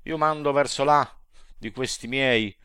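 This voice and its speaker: A man's voice: medium pitch, unhurried, fairly bright tone, clean tone, dry recording, studio quality, voice pushed up, lightly acted. Man playing a proud commanding devil chief. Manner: commanding, declaiming.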